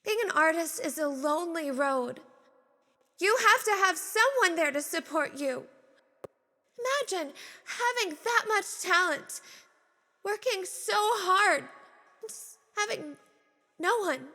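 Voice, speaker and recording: Woman acting protective lady in a stage drama, emphatic and pleading, slow and swoopy, hall echo. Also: extremely high pitch, animated, sharp tone, heavily acted. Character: loving, defensive woman championing a misunderstood artist. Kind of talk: stage play dialogue. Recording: echoey theatre hall.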